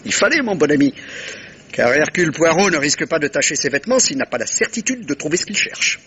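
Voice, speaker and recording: Radio mystery detective: high-pitched for a man, husky, pompous and courteous, savouring every pause. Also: big pitch swings, a bit bright, exaggerated voice. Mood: self-satisfied. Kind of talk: vintage French radio mystery acting.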